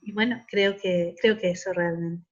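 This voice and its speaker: A woman's voice: on the low side, steady tone, moderate pace, highs cut off. A middle-aged woman academic speaking reflectively in an interview, earnest and often repeating words as she searches for the right one.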